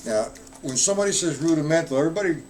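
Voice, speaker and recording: A man's voice: fairly high pitch, lively intonation, racing, background noise. A man giving a casual live-streamed drum lesson, explaining rudiments in a loose, chatty way and answering viewers in the chat. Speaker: drum teacher.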